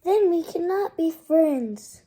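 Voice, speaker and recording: Child playing a loud, anxious storybook elephant. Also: very high voice, expressive, slight background noise, exaggerated voice. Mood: distraught. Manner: halting, loud, dramatic. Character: anxious sneezing male elephant, Piggy's worried friend.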